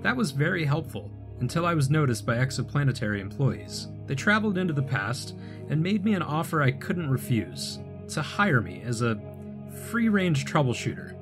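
An actor playing an earnest wanderer unstuck from time, explaining himself in a measured way in an audio drama. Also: male voice, on the high side, sing-song, over music. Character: earnest male time-unmoored wanderer recounting his strange life. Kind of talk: audio drama acting, calm storytelling.

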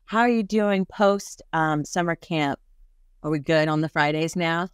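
A woman's voice: sits low, a little slow, clear, resonant tone, dry recording. A young adult American woman hosting a casual interview podcast on a close studio microphone. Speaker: podcast host. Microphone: close studio microphone on boom arm.

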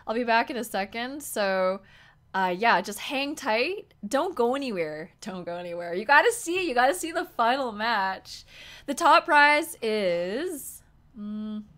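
A young woman with a higher, bright, forceful voice chats excitedly with her viewers from a desk indoors. Her voice has a slight hoarseness from talking for hours. She giggles often and speaks straight to her audience. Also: unhurried. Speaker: poker streamer. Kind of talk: live-stream commentary to chat. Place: small room indoors at a desk.

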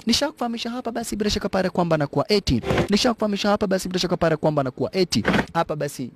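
A man talks fast over a movie, retelling the plot with quick, playful commentary. His voice is fairly high and swings widely in pitch. He drops English phrases into his slangy retelling. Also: very noisy. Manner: lively, jokey, mixes Swahili slang with English. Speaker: movie narrator.

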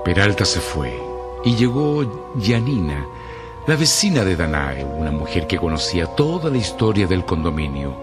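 Very deep-voiced mystery narrator. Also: male voice. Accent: Chilean. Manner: measured, dramatic. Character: ominous, deliberate male storyteller of mysteries. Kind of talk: radio drama mystery narration. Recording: music behind the voice.

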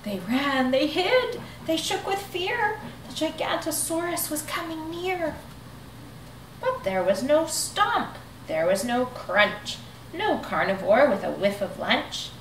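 A woman in her thirties reading a children's rhyming picture book aloud in an expressive, rhythmic way, seated in a living room. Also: sits high, slow, slight background noise. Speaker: storybook reader. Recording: echoey room, mic at a distance.

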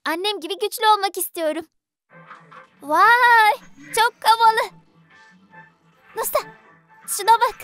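A performer voicing an excitable, cute, earnest little girl in a dubbed children's cartoon. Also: very high-pitched, unhurried, pauses often, soft background music. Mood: awed. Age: child character. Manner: speaks of self in third person, exclaiming.

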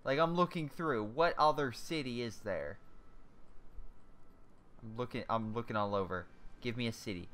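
A man's voice: medium pitch, moderate pace, long pauses, fairly bright tone. A man in casual multiplayer gaming chat, joking and rambling as he thinks aloud through menus. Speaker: gamer playing a strategy game with friends.

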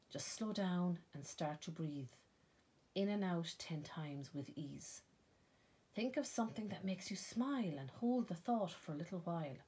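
A kind old Irish nana from a storybook , read by a woman, soothes a child. The voice is lowish and very breathy. The delivery is slow, gentle and coaxing. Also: heavily acted. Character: kind, soothing old grandmother teaching a young boy.

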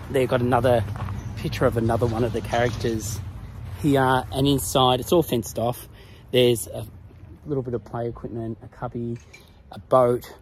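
A man's voice: medium pitch, poor audio. Chatty Australian man filming a town walk. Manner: chatty, easygoing, explains as he films. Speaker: travel vlogger. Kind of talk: casual walking tour narration. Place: outdoors in a country town street. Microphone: phone camera at arm's length. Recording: outdoor street noise behind.

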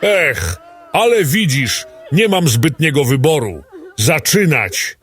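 A greedy, smug tycoon villain in a radio play, high and theatrical, lingering over every gloat. The voice swoops slowly in a roomy echo with music faintly behind. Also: male voice, very animated, fairly bright tone, full character voice. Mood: mock-sympathetic. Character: greedy, gloating male tycoon villain, mock-sympathetic despot. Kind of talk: amateur radio play acting.

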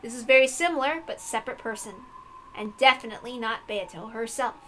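A woman's voice: on the high side, brisk, a bit bright, slight background noise, poor audio. A woman reading a visual novel aloud as scripted, dramatic narration.

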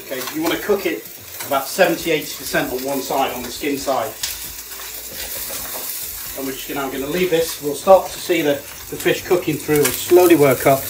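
Male chef, middle-aged, slightly high voice, casual practical cookery walkthrough, very noisy frying behind him. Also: a little fast. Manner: plain-spoken step-by-step instruction while busy cooking. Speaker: chef demonstrating a recipe. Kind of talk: casual instructional cooking narration. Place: small home kitchen, indoors. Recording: loud sizzling pan and kitchen noise. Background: frying, sizzling, stirring.

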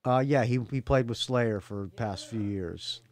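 A man's voice: mid-range voice, moderate pace. A man with a faded Southern US accent, chatting casually as the host of a rock podcast. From Jacksonville, Florida, US. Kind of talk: casual phone chat on a rock music podcast.

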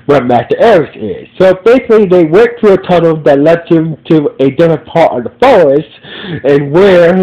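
A man with a blocked, nasal voice rambles through a casual recap, circling and restarting his sentences. His voice sits a touch high and soft in tone. The recording sounds muffled and lo-fi. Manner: rambling, many restarts and "so basically". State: stuffy nose. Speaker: reviewer and podcast host. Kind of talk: casual spoken episode recap.

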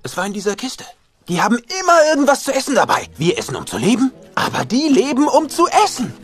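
Cocky, wildly animated raccoon hustler. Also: male voice, extremely high pitch, fast, soft background music, exaggerated voice. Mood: showman-like explaining. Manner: persuasive, cocky salesman patter. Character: sly, fast-talking male raccoon con artist. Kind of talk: cartoon film dub acting.

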